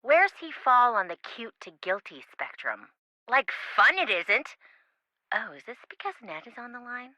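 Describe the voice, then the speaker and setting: A woman's voice: on the high side, very animated, normal speed, bright, forceful tone, no echo, low-quality recording. An actress playing a sassy young woman, a wisecracking best friend in a video game, with a teasing, sarcastic manner. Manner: teasing, sarcastic, dryly exaggerated.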